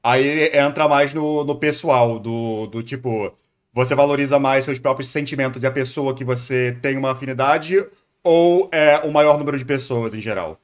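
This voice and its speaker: A man's voice: mid-range voice, normal speed. A man with a Brazilian Portuguese accent giving casual live-stream commentary, hesitating and repeating words as he thinks aloud. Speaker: streamer reacting to a video.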